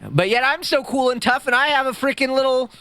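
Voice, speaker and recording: A man streaming live with a squeaky voice, chatting with his chat in a rambling, jokey way that jumps up high when he gets excited. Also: fairly quick, clean tone, no echo. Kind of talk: casual livestream chat reading chat. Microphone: streaming mic.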